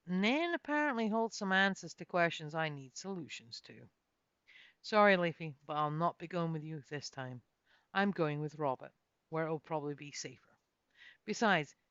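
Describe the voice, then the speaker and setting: A woman's voice: medium pitch, a bit bright, no echo, highs cut off, voice pushed up, close to an everyday voice. A woman voicing a wry young noblewoman adventurer in a storybook, colloquial, hesitant yet firm.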